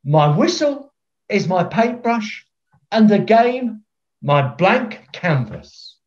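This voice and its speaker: A pompous, eccentric older football referee in a comedy. His delivery is theatrical and self-important, with a very animated pitch. The sound is dull and lo-fi, like a video call. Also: slow pace, exaggerated voice. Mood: grandly self-important. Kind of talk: filmed comedy acting. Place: room at home, on a video call.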